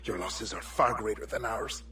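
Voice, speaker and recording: An actor playing a cold, dignified, scornful older Templar villain in a video game. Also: male voice, lowish voice, moderate pace, sharp tone, a little hiss or hum. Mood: quietly scornful. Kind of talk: cinematic video game acting.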